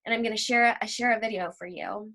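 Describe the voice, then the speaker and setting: A woman teaching a lesson for children, explaining simply and reading aloud deliberately, like a school library teacher. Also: fairly high pitch, fairly even intonation, bright, forceful tone.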